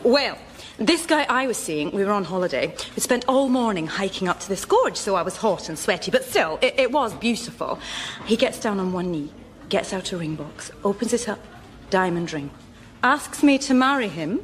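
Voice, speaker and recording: A woman's voice: medium pitch, animated, normal speed, a little hiss or hum, lightly acted. A woman playing an indignant, incredulous friend in conversational radio sketch comedy. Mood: exasperated. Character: exasperated woman swapping bad boyfriend stories.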